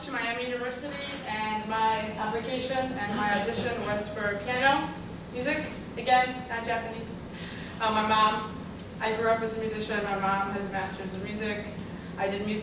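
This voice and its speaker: A young woman from Russia whose first language is Russian, chatting on a panel about her own story with many fillers and asides. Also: a little high. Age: young adult. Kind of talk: casual personal story on a panel. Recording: echoey room, far from mic, background noise.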